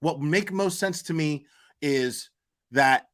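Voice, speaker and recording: A man's voice: fairly high pitch, slow, fairly bright tone. A man giving unscripted, opinionated livestream commentary as a host, blunt, argumentative and coarse-mouthed, repeating phrases for emphasis.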